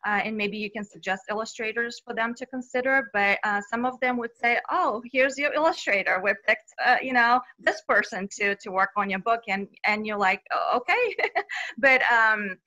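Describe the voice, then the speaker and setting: A woman, speaking in a bright, fairly high voice. She is a children's author answering interview questions in a cheerful way, laughing often and filling pauses with "um.". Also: expressive, low-quality recording. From Bulgaria. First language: Bulgarian. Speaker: children's book author, guest.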